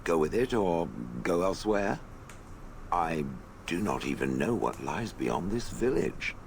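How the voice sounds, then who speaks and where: An ancient stone golem from a fantasy video game, very low and full of gravel. The haughty, formal delivery is drily contemptuous yet swings widely in pitch, over clearly noisy game audio. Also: a little slow. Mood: dry acceptance. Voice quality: deep, gravelly. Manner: haughty deadpan, formal phrasing, addresses people as "it". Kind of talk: video game character acting.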